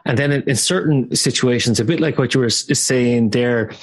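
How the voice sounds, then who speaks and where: Man, podcast host, mid pitch, explanatory, teacherly, sums up for listeners, clear segues. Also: moderate pace. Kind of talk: interview hosting.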